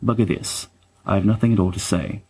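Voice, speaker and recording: Low, husky, wry bookish man acting a letter-writer. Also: brisk, darkish tone. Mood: fond, exasperated. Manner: pedantic, dryly self-deprecating, wordy. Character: wry bookish young male letter-writer, fussy and affectionate. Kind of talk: dramatic reading of letters.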